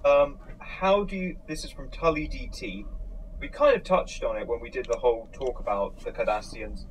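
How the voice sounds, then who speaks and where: Mid-pitched man hosting casual fan chat. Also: moderate pace, slight background noise, low-quality recording. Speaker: host reading viewer questions.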